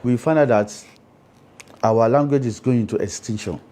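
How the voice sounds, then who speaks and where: A man with a Nigerian Yoruba accent explains things in a dark, mellow voice. He speaks emphatically, like a priest being interviewed, and keeps checking that his listener follows. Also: medium pitch, moderate pace. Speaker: Ifa priest, interview guest.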